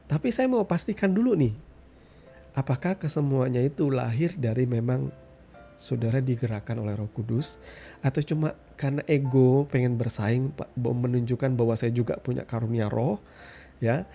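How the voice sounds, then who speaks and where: A man giving an informal sermon as a devotional podcast host, explaining conversationally with frequent check-in tags and pauses for emphasis. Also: medium pitch, gravelly, dark tone, faint music behind. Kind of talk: informal sermon, Bible reflection.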